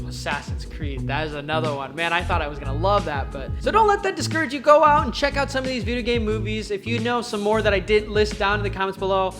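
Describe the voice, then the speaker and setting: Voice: mid-range voice, fast talker, few pauses. An enthusiastic, chatty video host giving casual, emphatic film commentary in a countdown format. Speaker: YouTube host reviewing films. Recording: music playing behind the voice. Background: background music.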